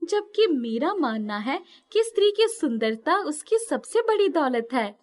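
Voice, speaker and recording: A woman acts out a young storybook fairy in the guise of a girl. Her voice is very high and lifted, with an eager, friendly, questioning manner and measured pace. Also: big pitch swings. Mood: curious. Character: curious young female fairy disguised as a girl.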